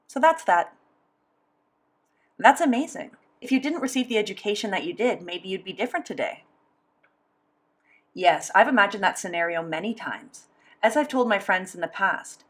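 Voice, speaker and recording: A woman's voice: medium pitch, breathy edge. Woman voicing a signed interview. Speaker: sign language voice interpreter.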